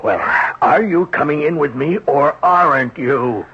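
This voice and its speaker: An actor playing a desperate middle-aged financier on the brink of ruin, agitated and pleading, in 1970s radio drama style, on a dull vintage broadcast recording. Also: male voice, a little high, lively intonation, unhurried, fairly bright tone, slight background noise. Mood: impatient. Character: desperate older male financier, overextended and pleading.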